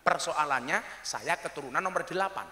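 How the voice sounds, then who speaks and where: High bright joking preacher in a hall. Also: male voice, fairly quick. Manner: joking, teasing the audience, switching into regional dialect for punchlines. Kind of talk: comic religious sermon to a crowd. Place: large hall or outdoor stage at a mosque opening. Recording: echoey hall with long reverb.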